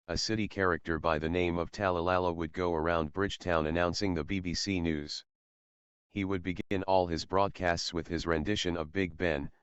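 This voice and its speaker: A man's voice: low voice, smooth, dry recording, dull audio. A man reading a scripted documentary narration.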